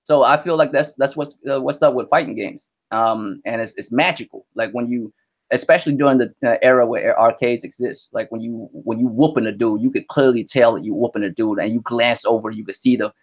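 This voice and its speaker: A young man from Vietnam, a Vietnamese native speaker, chatting as an interview guest in an animated, slangy way. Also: mid-range voice, brisk, dull audio. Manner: animated, slangy, lots of "you know what I mean". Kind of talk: casual interview chat.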